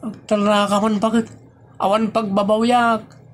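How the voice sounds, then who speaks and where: A man's voice: high voice, level tone, measured pace, a little hiss or hum. A man with an Ilocano accent playing a carefree, hard-drinking man who whines defensively in a comedic audio drama. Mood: boastful, exasperated.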